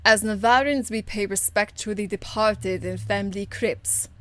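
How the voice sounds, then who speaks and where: A woman's voice: medium pitch, steady tone, normal speed, fairly bright tone, natural voice. A woman voicing a stern, proper female warrior in a video game, speaking formally with a dry, weary exasperation. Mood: solemn. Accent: foreign, European-tinged. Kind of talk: video game character acting.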